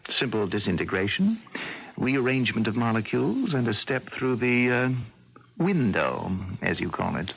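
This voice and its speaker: An actor playing a cool, menacing young double from another dimension in a radio drama, with a measured, smug manner. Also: male voice, mid-range voice, sing-song, creaky, slightly rough, full character voice. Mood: detached, explanatory. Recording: muffled vintage radio drama recording.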